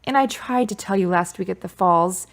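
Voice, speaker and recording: A woman's voice: mid-range voice, moderate pace, lightly acted. A woman playing a frank, self-assured character in a radio drama, speaking in an articulate, wry, wordy way. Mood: reflective, measured.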